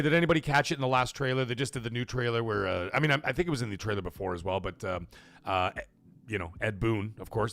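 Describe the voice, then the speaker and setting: A man hosting a casual gaming live stream, rambling excitedly with fillers and restarts, greeting chat viewers and swearing casually. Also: on the low side. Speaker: livestream podcast host.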